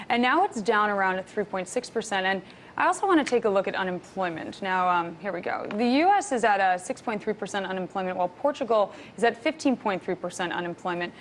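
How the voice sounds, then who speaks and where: A woman's voice: medium pitch, slight background noise. A woman hosting a TV business segment, upbeat and chatty, reading her intro and asking her guest questions. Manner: upbeat, chatty, stumbles over names. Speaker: TV show host.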